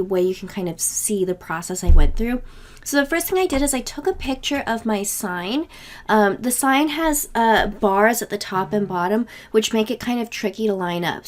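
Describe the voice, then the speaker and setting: Woman, thirties, slightly higher voice, a little worn from a cold, casual step-by-step tutorial talk, desk mic. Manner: casual, step-by-step explaining with small fillers. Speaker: craft tutorial host. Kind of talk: screen-recorded software tutorial.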